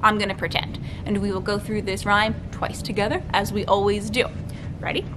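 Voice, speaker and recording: A young woman hosting a storytime, reading aloud to children in an expressive, sing-song way. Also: a little fast. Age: young adult. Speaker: children's librarian, storytime host. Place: library room with bookshelves. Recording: clearly noisy room recording, low rumble.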